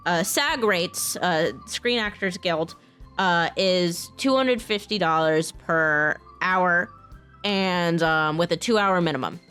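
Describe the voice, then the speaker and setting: A woman talking casually in a vlog announcement, informal and halting, often restarting her sentences. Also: lowish voice, a bit bright, clear, resonant tone. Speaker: voice actor, software engineer and game modder.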